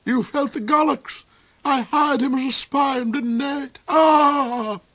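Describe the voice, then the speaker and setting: A man's voice: extremely high pitch, measured pace, sharp tone, pitched above the performer's own voice, heavily acted. A man voicing a cruel, cold, ranting old duke, shrieking, snarling and cackling in an audiobook reading. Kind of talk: audiobook character voice.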